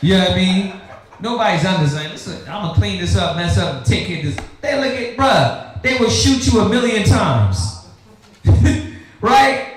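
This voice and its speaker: An American man teaches scripture like a fiery street preacher, his high voice swinging widely in pitch. He talks confrontationally, with slangy rhetorical questions. The room is very echoey, with faint noise underneath. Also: expressive, moderate pace. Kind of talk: fiery teaching sermon with call and response.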